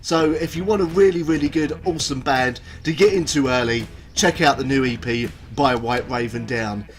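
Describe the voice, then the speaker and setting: A man's voice: mid-range voice, normal speed, soft background music. Chatty bunged-up man. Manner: chatty, rambling, many ums and self-corrections. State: stuffy, bunged up with a cold and hay fever. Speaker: music reviewer and show host. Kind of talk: casual video review. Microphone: camera video recording.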